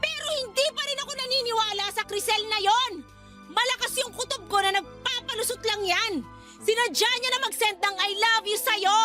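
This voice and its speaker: A woman's voice: extremely high pitch, brisk, pushed and bright, faint music behind. An actress playing a jealous, suspicious young girlfriend in a Filipino radio drama, accusing and emotional.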